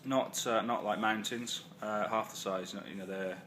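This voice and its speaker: A man's voice: lowish voice, steady tone, measured pace, fairly bright tone, a little hiss or hum. A man casually recapping his travels for a vlog, full of ums and uhs and self-corrections. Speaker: travel vlogger. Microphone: phone or handheld camera.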